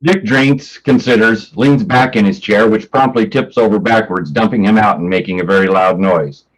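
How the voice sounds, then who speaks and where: A man's voice: on the low side, moderate pace, poor audio. A man presenting a staged play reading as announcer and stage directions reader, plain and matter-of-fact. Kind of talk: scripted narration of stage directions.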